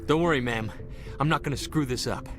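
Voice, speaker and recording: A man acting an eager, restless young marine corporal in a video game, excitable and earnest. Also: mid-range voice, a little fast, close to an everyday voice. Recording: loud music behind the voice. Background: music.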